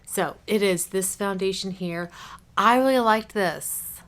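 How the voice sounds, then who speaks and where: A woman's voice: mid-range voice, measured pace, fairly bright tone. A woman vlogging casually to camera, chatty and informal with a tendency to wander off topic, slightly congested with a cough drop in her cheek. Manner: chatty, informal, prone to tangents. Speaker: beauty vlogger.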